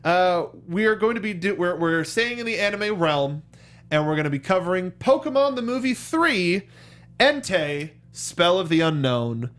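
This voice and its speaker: A man's voice: high voice, sing-song, moderate pace, fairly bright tone. A man hosting a review show in a casual, informal and enthusiastic way. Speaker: show host.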